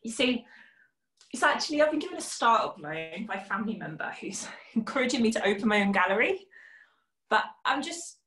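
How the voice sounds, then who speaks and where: A woman's voice: a little high, very animated, a little fast, a bit bright. A woman acting a polite, unsure client in a comic scene, hesitant and apologetic, recorded in an echoey room.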